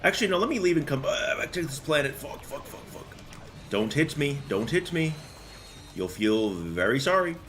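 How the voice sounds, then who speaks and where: A man's voice: a little high, very animated, moderate pace, fairly bright tone, a little hiss or hum. A young man doing casual, slangy game commentary and chatting with viewers in a joking, rambling way. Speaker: game streamer. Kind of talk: casual gameplay commentary and chat.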